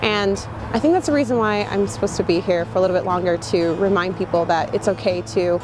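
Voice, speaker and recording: A woman's voice: medium pitch, a little fast, background noise. A woman answering interview questions in a thoughtful, earnest, reflective way. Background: background music.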